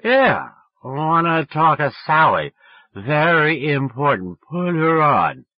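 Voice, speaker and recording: A man voicing a drunk teenage boy on a late phone call, pleading insistently and repetitively in slurred yells, as in an audiobook. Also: fairly high pitch, very animated, takes it slowly, dry recording, pitched above the performer's own voice, heavily acted. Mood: drunken, demanding.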